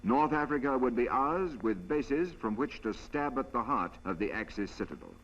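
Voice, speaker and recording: A man's voice: medium pitch, animated, normal speed, fairly bright tone. A man delivering formal, declarative scripted narration for a 1940s military film. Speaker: documentary narrator. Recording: dull vintage film soundtrack, faint hum.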